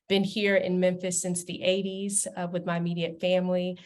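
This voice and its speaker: Brief: woman from Kenya, slightly low, easy laugh, video call guest. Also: little pitch movement, normal speed, darkish tone. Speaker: singer and songwriter guest. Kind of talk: self-introduction on a panel.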